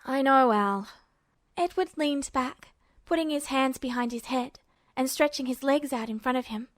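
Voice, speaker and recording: High-voiced woman narrating a story. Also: moderate pace, dry recording. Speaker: fan fiction narrator.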